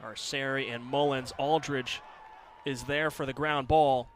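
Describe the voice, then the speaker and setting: A man's voice: mid-range voice, steady tone, unhurried, a faint crowd, a little hiss or hum. A man calling live sports as a play-by-play announcer on a broadcast.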